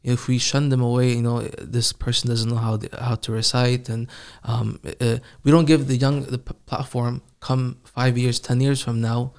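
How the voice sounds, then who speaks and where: A man who recites the Quran, answering interview questions calmly and reflectively, sharing his own experiences. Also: mid-range voice, moderate pace, hardly any pauses. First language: not Arabic. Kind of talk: casual interview.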